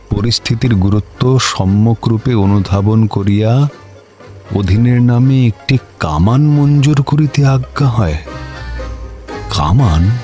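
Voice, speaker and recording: An actor playing an officious, excitable district magistrate in a comedy radio play, with a clipped manner and English words mixed in. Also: male voice, medium pitch. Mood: incredulous. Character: officious, excitable male district magistrate, bossy to juniors, servile to superiors. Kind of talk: Bengali comedy radio drama acting.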